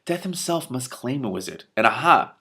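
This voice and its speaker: A man reading aloud, voicing a dead male wizard in a low, hushed, mocking tone. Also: very animated, normal speed. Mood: sly.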